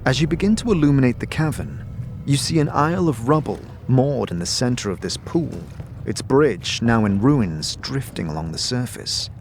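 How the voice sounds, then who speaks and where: Guy narrating fantasy adventure like a game master, dramatic, medium pitch, music clearly behind. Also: expressive, normal speed, a little mellow. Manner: dramatic, descriptive storytelling. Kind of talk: scripted second-person fantasy narration.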